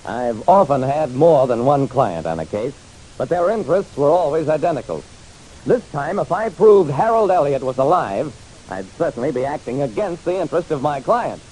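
A man's voice: fairly high pitch, very animated, moderate pace, darkish tone, husky, rough recording. An actor playing a hard-boiled private detective narrating his casebook in a wry, dramatic, punchy radio style. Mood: reflective. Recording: hissy old radio recording.

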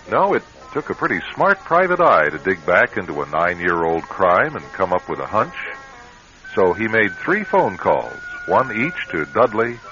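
A man hosting a radio drama series, delivering scripted narration in a measured, formal manner. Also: low voice. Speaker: radio drama host and announcer. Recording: muffled old radio broadcast, faint music.

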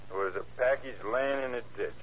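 A man's voice: medium pitch, fairly quick, natural voice. An actor playing a young male drifter under arrest, terse and defensive, in a vintage radio crime drama. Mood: explaining. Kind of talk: 1940s-50s radio crime drama acting. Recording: muffled, noisy vintage radio recording.

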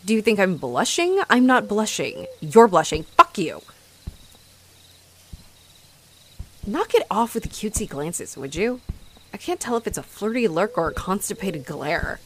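An actress voices a haughty female vampire in a roleplay audio drama. Her delivery swings animatedly up and down, dripping with sarcasm and theatrical mockery. A clearly audible layer of noise sits behind the voice. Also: medium pitch, very animated, normal speed, natural voice. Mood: flustered, defensive. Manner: mocking, sarcastic, theatrical, swearing. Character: snarky, haughty female vampire, flustered by her hunter rival.

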